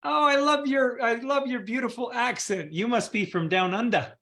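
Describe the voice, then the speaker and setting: Guy hosting a group call, excited and rambly, lots of ums. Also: very high-pitched, normal speed. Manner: warm enthusiastic with fillers. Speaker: community call host. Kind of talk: casual upbeat group call.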